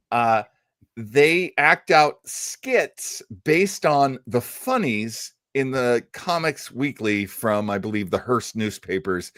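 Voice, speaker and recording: Casual man hosting, with lively pitch swings. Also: dry recording, studio quality. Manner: rambling, halting delivery. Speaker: radio show host. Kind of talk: casual chat introducing old radio shows.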